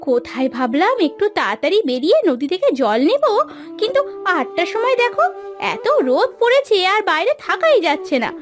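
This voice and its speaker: Woman acting a very high-pitched, weary village housemaid. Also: sing-song. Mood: weary, complaining. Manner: plaintive, complaining, drawn-out lamenting. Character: weary young village housemaid and wife, plaintive. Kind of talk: cartoon moral story acting. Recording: dry studio voice over background music.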